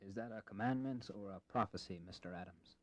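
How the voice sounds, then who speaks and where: An actor playing a modest, courteous gentleman statesman from Virginia in a historical audio drama, reluctant and formal, with a hesitant manner. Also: lowish voice, fast, close to an everyday voice. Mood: flustered, modest. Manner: hesitant, formal, mildly flustered.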